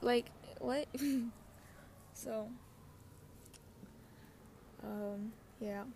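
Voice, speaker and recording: A woman's voice: medium pitch, steady tone, very slow, creaky, a little mellow. A young adult woman chatting casually as a podcast host in a scattered, slangy way, often interrupting herself. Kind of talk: casual rambling personal podcast chat. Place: outdoors with wind and traffic. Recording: windy outdoor noise, passing cars. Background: wind, cars, ambient noise.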